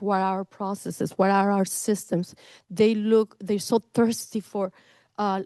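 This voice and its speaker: A woman's voice: mid-range voice, mellow, no echo, clean studio sound. A middle-aged woman from Costa Rica with a Costa Rican Spanish accent, a realtor speaking as guest at a business meeting, enthusiastic and effusive. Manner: enthusiastic, effusive, laughs between phrases.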